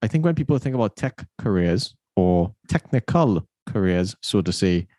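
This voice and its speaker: A man's voice: fairly low pitch, big pitch swings, normal speed, dark tone, dry recording. A man hosting a podcast interview, telling stories in a rambling, chatty way. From Trinidad and Tobago. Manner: storytelling, rambling with restarts. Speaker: podcast host. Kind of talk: casual interview chat.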